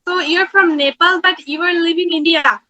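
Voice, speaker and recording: A woman's voice: very high-pitched, fairly even intonation, moderate pace, pushed and bright. A woman co-hosting a video-call conversation in learner English, asking polite questions. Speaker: co-host.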